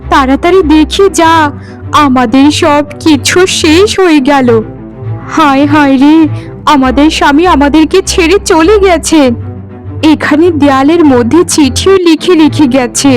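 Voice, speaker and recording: A woman plays a bitter elder co-wife from a cartoon folk tale. Her voice is high and she scolds and wails with heavy melodrama. Music plays underneath. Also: a little slow. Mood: wailing, distraught. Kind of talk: animated folk-tale voice acting.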